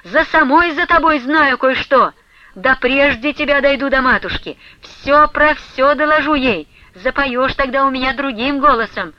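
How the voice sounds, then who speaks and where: A woman voicing a furious, jealous young woman, shouting defiant threats in a dramatic audiobook. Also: high voice, normal speed, highs cut off, voice pushed up, natural voice. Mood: shouting defiance. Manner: shouting, defiant, hot-tempered.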